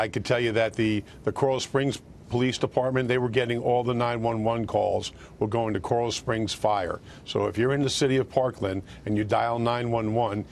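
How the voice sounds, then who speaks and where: An American man answering as a news interview guest, speaking haltingly and repeating words as he goes. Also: on the low side, vocal fry, slight background noise. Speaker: police union president, interview guest.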